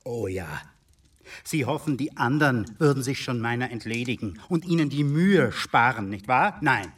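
An actor playing an embittered, defiant informer in a radio drama, sardonic and agitated. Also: male voice, medium pitch, animated, normal speed, fairly bright tone, breathy edge, recorded from a distance. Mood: bitter, defiant. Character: embittered, defiant male informer, cornered and enraged.

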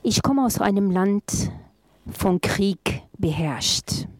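A woman interpreting at a panel talk in the first person, haltingly, often repeating and rephrasing her phrases. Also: medium pitch, expressive, no echo.

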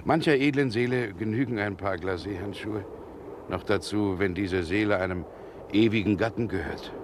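Actor as ironic, self-assured gentleman, noisy old recording. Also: lowish voice, natural voice. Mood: brooding, musing. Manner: mocking, musing, deliberate. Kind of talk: 1960s German radio play acting. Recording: noisy vintage 1960 radio recording.

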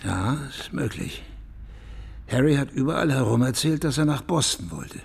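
An actor playing a gruff, streetwise police sergeant in a radio drama, blunt, colloquial and sardonic. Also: male voice, mid-range voice, animated, normal speed. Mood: pondering.

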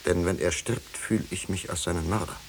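A man's voice: deep voice, fairly even intonation, fairly quick, close to an everyday voice. Man acting a tormented, fervent pastor in hissy audio. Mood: grave, remorseful. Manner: fervent, formal. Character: tormented, pleading male pastor, fervent and guilt-ridden. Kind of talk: 1950s German radio drama acting. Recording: hissy 1950s radio recording.

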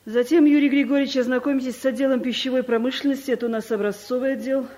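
A woman's voice: high-pitched, steady tone, fast talker, dark tone. A bossy, categorical woman director in a vintage studio radio comedy. She speaks in curt, lecturing sentences and leaves no room for reply. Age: middle-aged. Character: domineering middle-aged woman office director. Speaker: office director.

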